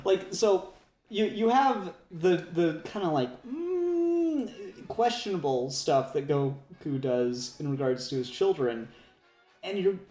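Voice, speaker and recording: A man with a slightly high voice talks casually and eagerly, wandering through his points with emphasis. He sounds like a podcast co-host in an echoey room, with music playing behind him. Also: big pitch swings, slow, mellow. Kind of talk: casual fan discussion. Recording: roomy echo, music behind.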